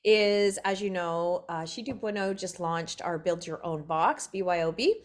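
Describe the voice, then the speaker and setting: A woman's voice: on the low side, unhurried. A middle-aged woman, hosting a casual interview chat. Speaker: host.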